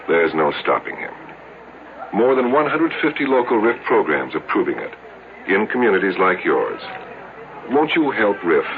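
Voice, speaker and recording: An earnest American man reading a scripted public service appeal on radio. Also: low voice. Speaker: charity appeal announcer. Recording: tinny old radio, clearly noisy.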